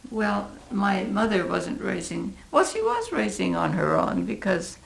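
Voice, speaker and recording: An elderly American woman in her eighties recalling her life in a reflective interview, halting and thoughtful, with a gravelly, creaky voice. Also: mid-range voice, huge pitch swings, low-quality recording. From Oregon, United States. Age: 80s. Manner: halting, deliberate, thoughtful pauses. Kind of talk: reflective oral history interview.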